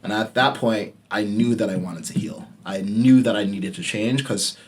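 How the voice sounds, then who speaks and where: A man in his late twenties, a podcast host telling a personal story in a candid, casual way, swearing freely and dropping his voice low when he stresses a point. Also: moderate pace. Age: late 20s.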